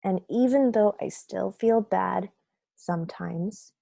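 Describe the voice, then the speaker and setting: A woman voicing a grumpy, remorseful sunflower seed telling his own story in a children's picture book read-aloud, in a dramatic, deliberate, confessional manner. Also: mid-range voice, creaky, mellow, dry recording, clean studio sound, exaggerated voice. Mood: hopeful, earnest.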